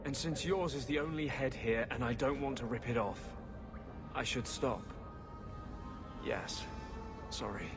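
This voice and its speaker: A male actor plays an embittered mage on the run in a fantasy game. He broods and speaks intensely at an average pitch. Music plays clearly underneath. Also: natural voice. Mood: apologetic. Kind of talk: video game cutscene acting.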